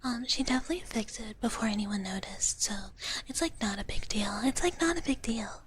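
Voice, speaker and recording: A woman whispering softly and breathily in a cutesy ASMR roleplay as a cozy dragon big sister, chatting with viewers close to the mic. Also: a little high, expressive, fairly quick, breathy edge. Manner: cutesy, speaks of herself in the third person. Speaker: ASMR livestream host.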